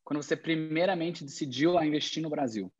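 A man's voice: fairly high pitch, brisk, breathy edge, dull audio. Prompting male interviewer. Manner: prompting, helps with missing words. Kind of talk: casual interview questions.

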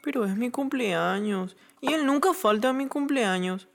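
A woman's voice: fairly high pitch, lively intonation, normal speed. A woman playing a lonely, skeptical ten-year-old boy in an audio drama. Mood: downcast.

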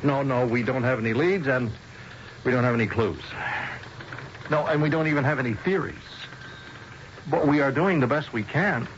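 An actor playing a skeptical, exasperated police lieutenant in a radio mystery, stammering and sarcastic. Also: male voice, mid-range voice, normal speed, pauses often, lightly acted. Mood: flustered, deferential. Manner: flustered, hesitant stammering, sarcastic. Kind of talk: old-time radio mystery drama acting. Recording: dull, noisy old radio broadcast recording.

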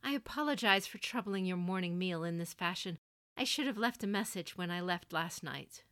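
A woman's voice: on the low side, lively intonation, moderate pace, fairly bright tone. A woman voicing an impulsive young nobleman samurai in an audio story, earnest and emotional in his delivery. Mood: apologetic. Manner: emotional, raised, insistent.

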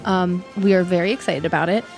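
A woman with a raspy voice co-hosting a podcast in casual chat, upbeat and full of fillers. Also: on the low side, fairly quick, soft background music. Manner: chatty, filler-heavy, upbeat. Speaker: podcast co-host.

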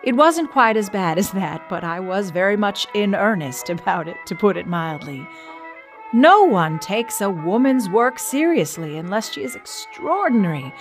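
A woman's voice: mid-range voice, big pitch swings, normal speed, soft background music, lightly acted. A woman playing an earnest, witty suffragist of the early 1900s in a dramatic, conversational reading of letters, with opinionated wryness. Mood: indignant, earnest.

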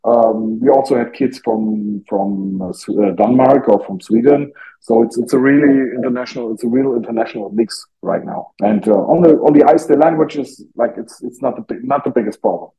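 A man with a German accent speaks English as a second language in an informal interview. His voice is very soft-edged and dark, with little brightness. He talks haltingly, often searching for the right word, like a coach explaining his work. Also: mid-range voice, vocal fry, rough recording. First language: not English. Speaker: youth hockey coach.

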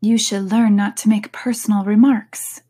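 A woman voicing a proper, indignant young girl with a prim, sharp way of speaking. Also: medium pitch, lively intonation, a little slow, slightly rough.

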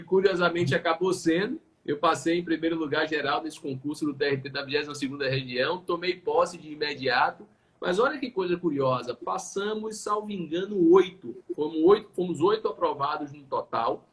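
A labor judge from Salvador, a man with a voice pitched a little above most men's. He talks in a reflective, conversational way in a live online chat. He sometimes stops and restarts his phrases. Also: moderate pace, poor audio. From Salvador, Bahia, Brazil.

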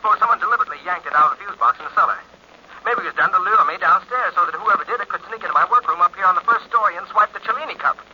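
A scared, anxious man acted by a man in an old radio thriller. His voice runs fairly high and he talks fast, rattled and urgent. The sound is muffled and a little hissy. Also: natural voice. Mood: frightened, urgent. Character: nervous, frightened man pleading with a friend. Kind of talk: 1940s radio drama acting.

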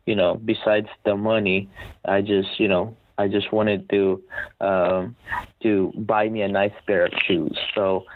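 A man in his twenties from the Philippines, a guest telling his story in a relaxed, rambling way with many fillers. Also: fairly low pitch, a little slow. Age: 20s. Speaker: podcast guest, reseller. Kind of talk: casual interview talk. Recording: tinny phone-like line, faint noise.

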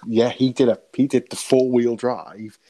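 Jokey podcast host. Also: male voice, medium pitch, steady tone, measured pace, warm tone, rough recording. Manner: jokey, teasing, self-deprecating. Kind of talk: casual chat.

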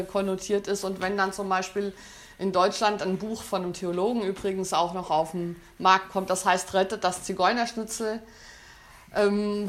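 A woman giving a thoughtful spoken talk, often pausing with fillers and restarting her sentences. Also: mid-range voice. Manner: hesitant, many fillers and restarts. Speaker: speaker on language and society.

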